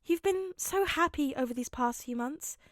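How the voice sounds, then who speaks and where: Woman acting a doting, high-voiced fretful mother. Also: expressive, moderate pace, no room sound, studio quality. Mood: tender, relieved. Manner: gentle, doting. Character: caring, gently fretful mother of a teenage boy.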